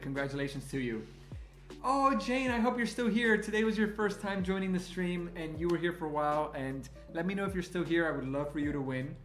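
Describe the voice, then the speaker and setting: A man with a somewhat high voice hosts a live-stream giveaway, bubbling with excitement and calling out viewers by name. Spanish was his first language, and he grew up in Miami. The room is echoey, and faint music plays underneath. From Miami, Florida, US. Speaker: livestream host running a card giveaway. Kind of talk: live-stream chat, counting out picks.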